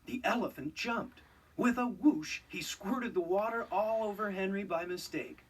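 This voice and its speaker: A man narrating a children's read-along storybook in an expressive, scripted storytelling style. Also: sits high, measured pace, husky, far from the mic.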